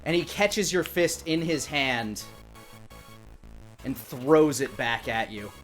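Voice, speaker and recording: A man's voice: medium pitch, expressive, takes it slowly, fairly bright tone. A man in his thirties acting as dungeon master, narrating a tabletop game with dramatic, descriptive storytelling into a close mic. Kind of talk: improvised game narration for a tabletop role-playing stream. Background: music.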